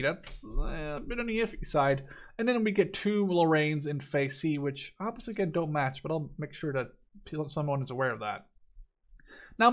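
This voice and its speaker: A man's voice: fairly high pitch, a bit bright. A man in his twenties from the Netherlands giving casual, opinionated gameplay commentary in an informal, blunt way. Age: 20s. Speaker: gaming YouTuber reviewing a game.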